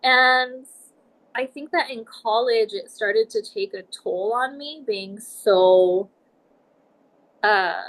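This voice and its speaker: A woman in her forties from Nebraska, a podcast guest telling her life story in a reflective, measured way. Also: mid-range voice, a bit bright, poor audio. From United States, Nebraska. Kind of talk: casual interview talk.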